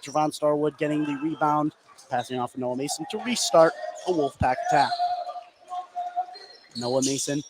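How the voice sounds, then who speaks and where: Male basketball play-by-play commentator, fairly high voice, excitable on big plays, clearly noisy gym setting. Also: moderate pace, long pauses. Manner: narrates action continuously, excitable on big plays. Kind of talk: live radio sports commentary. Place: courtside in a sports gym during a game. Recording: clear gym noise behind voice.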